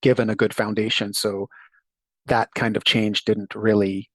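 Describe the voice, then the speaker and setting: A man's voice: fairly low pitch, level tone, measured pace, no echo, low-quality recording. A middle-aged man from Jamaica, telling his story as an interview guest in a thoughtful way that laughs easily. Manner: thoughtful, warm, laughs easily, occasional restarts.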